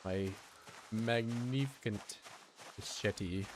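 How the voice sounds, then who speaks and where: A man's voice: on the low side, drawn-out words, background noise. A young man streaming a survival game, rambling and joking with his chat in a casual way. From United States. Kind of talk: casual gameplay commentary.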